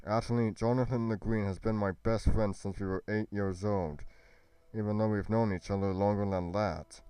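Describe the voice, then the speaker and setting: A man playing an earnest young fantasy adventurer in an amateur roleplay, with a solemn, deliberate delivery. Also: on the low side, steady tone, mellow, clear, resonant tone, no room sound, lightly acted. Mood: solemn explaining.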